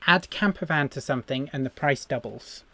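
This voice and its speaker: A man co-hosts a relaxed live stream and reads out viewer comments. He sounds cheerful and laughs often, and the sound is dry and close. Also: mid-range voice, brisk, no room sound. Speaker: co-host reading viewer comments. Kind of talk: casual live-stream chat.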